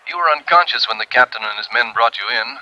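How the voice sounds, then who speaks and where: A quick, authoritative small-town doctor. His voice is very bright and hard-edged, with a businesslike manner. It comes through a slightly dull 1950s radio recording. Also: medium pitch, animated. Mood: brisk, professional. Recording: dull old radio broadcast.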